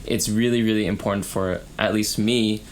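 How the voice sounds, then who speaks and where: A man's voice: fairly low pitch, fairly even intonation, slight background noise. A young man from Canada co-hosting a student podcast, talking casually with many fillers and restarts. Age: young adult. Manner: halting, many ums and likes. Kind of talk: casual conversation.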